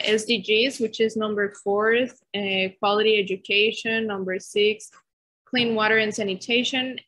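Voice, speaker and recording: A woman's voice: medium pitch, a bit bright, slight background noise, low-quality recording. A young woman, introducing a presentation live, hesitant, with fillers. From Costa Rica. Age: young adult. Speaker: student presenter.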